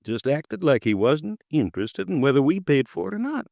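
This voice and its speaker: A man's voice: a little high, big pitch swings, moderate pace, creaky, darkish tone, no echo. Chatty insurance man in his fifties, folksy, voice bobs up and down a lot, dry studio sound. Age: 50s. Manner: folksy, chatty, easily riled. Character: stocky red-faced insurance agent in his late fifties, folksy. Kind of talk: 1950s radio drama acting. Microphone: close studio mic, dry.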